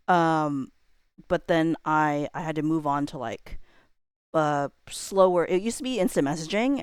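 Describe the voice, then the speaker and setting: A woman's voice: low voice, clear, resonant tone, no echo, studio quality. A woman, perhaps in her thirties, chatting casually on a livestream in a rambling, self-deprecating way with informal slang.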